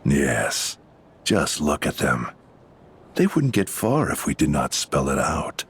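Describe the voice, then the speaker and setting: A man playing a cold, commanding dark overlord in a video game, grave and formal. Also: very deep voice, very animated, takes it slowly, a little hiss or hum, exaggerated voice. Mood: cold menace. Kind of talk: dramatic video game acting.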